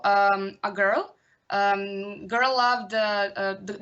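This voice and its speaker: A woman of about twenty with a Serbian accent, giving a careful, halting presentation over a video call as a youth portal editor. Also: medium pitch, fairly bright tone, dull audio. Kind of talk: conference presentation.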